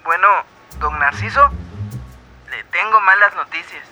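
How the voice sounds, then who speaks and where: A man's voice: sits high, unhurried, loud music behind the voice. An actor playing a dutiful informant who reports deferentially to his boss in a radio drama. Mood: worried reporting.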